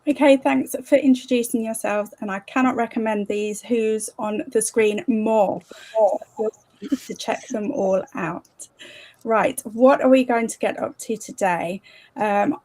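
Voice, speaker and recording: A woman's voice: mid-range voice, darkish tone, no room sound, low-quality recording. Chatty woman hosting a livestream. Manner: chatty, rambling with fillers and giggles. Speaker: host. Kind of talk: casual livestream chat.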